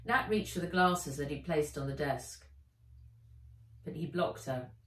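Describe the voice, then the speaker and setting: A woman author reading her children's book aloud as an expressive storyteller, with deliberate dramatic emphasis. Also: sits low. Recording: roomy echo, distant mic.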